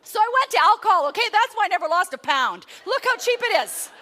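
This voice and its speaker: A middle-aged woman, a motivational speaker, telling a self-mocking story to a live audience, rising to loud exclamations. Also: very high-pitched, big pitch swings, fast. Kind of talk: storytelling keynote talk.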